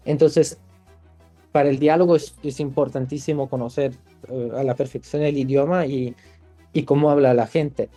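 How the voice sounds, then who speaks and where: Screenwriter guest from Italy, male, relaxed dark timbre, reflective with restarts, faint music bed. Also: mid-range voice, moderate pace. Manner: thoughtful, many restarts and fillers. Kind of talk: podcast interview.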